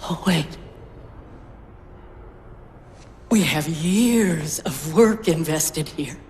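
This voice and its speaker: A woman playing an anxious, indignant research scientist in a video game, agitated and sharp-tongued. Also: deep voice, expressive, slow pace, big-room sound, slight background noise. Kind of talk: cinematic video game acting.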